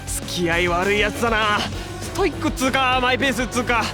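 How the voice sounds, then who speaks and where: A man's voice: high-pitched, fast, close to an everyday voice. A man playing a brash, hot-blooded young superhero in a Japanese audio drama, with cocky, slangy, exclamatory delivery. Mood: excited, cocky. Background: prominent music.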